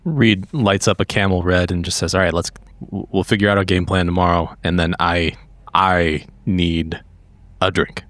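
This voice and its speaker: A man's voice: low voice, fairly even intonation, normal speed, a bit bright, dry recording. A man playing a tabletop roleplaying game, improvising casually in character.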